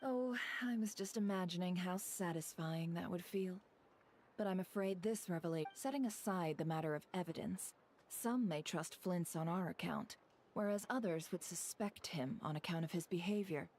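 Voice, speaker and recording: Game role, female actor: shrewd, measured female tactician, slightly low voice, precise analytical delivery, calm and discreet. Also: a little hiss or hum, close to an everyday voice. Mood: measured warning. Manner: deliberate, articulate, analytical. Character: shrewd, composed woman strategist, measured and discreet. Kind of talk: scripted game dialogue.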